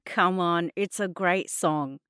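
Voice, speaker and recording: A woman's voice: lowish voice, slow pace, fairly bright tone, smooth, no echo. A cheeky young man played by a woman narrator, smug and teasing. He sounds playful and mock-innocent in this storybook telling, close and dry. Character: smug, teasing, bargaining young male family friend. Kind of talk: dramatised audiobook dialogue.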